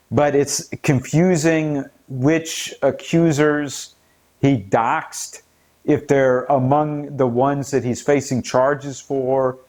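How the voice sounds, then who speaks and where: Slow-talking American man, indignant. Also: medium pitch, darkish tone. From United States. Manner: earnest, indignant, rising emphasis at key points. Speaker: political commentary channel host. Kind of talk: scripted-sounding solo commentary.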